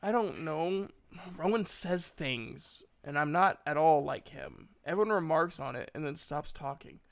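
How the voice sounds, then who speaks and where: A man's voice: fairly high pitch, expressive, creaky. A man voicing an anxious, earnest young half-elven boy with a hesitant way of speaking, in an audiobook. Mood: uneasy. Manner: hesitant, halting.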